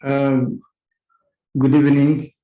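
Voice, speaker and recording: A civil engineer from Uganda introducing himself politely on a video call, plain and slightly hesitant. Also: male voice, medium pitch, monotone, slow, reverberant, highs cut off. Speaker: civil engineer, dam safety official.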